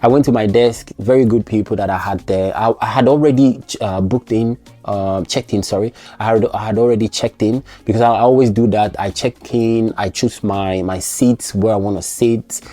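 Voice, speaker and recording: A man's voice: fairly low pitch, fairly even intonation, normal speed, few pauses, warm tone, soft background music. A man vlogging about his trip, recounting it animatedly with many restarts and self-corrections. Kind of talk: casual travel storytelling.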